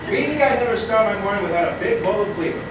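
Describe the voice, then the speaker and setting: High-voiced man, distant and very echoey. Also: animated, fast. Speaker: performer giving a mock testimonial. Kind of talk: scripted parody ad testimonial. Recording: echoey, noisy live room, far from mic.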